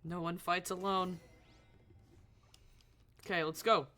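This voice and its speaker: A woman with a bright, forward voice comments casually on a live game. She is opinionated and reactive, and swears freely as she goes. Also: lowish voice, level tone, takes it slowly, faint music behind. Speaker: game streamer.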